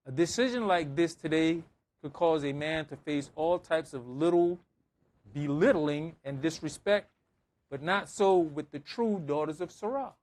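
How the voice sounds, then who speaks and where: A man with a fairly high voice delivers a conversational sermon. He speaks as a preacher, driving points home with emphatic repetition and everyday examples. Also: unhurried, a little mellow. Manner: emphatic, repeating phrases, acting out dialogue. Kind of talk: conversational sermon with scripture reading and anecdotes.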